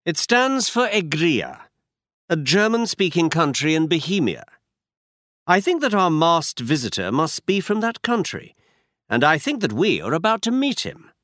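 A man's voice: a little high, sing-song, measured pace, fairly bright tone, no room sound, clean studio sound, pitched above the performer's own voice. A man doing a self-assured detective. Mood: confident. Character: brilliant confident male detective. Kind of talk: audiobook character reading.

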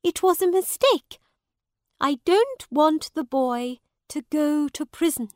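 Anxious, soft-hearted storybook mother, acted by a woman reader, high voice, very slow pleading. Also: dry recording, studio quality. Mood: begging. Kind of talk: storybook character dialogue.

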